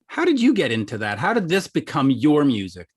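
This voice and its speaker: Lively middle-aged man asking questions. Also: fairly high pitch, a little fast, husky. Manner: stammering restarts when asking questions. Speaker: interviewer, host. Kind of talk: casual interview questions.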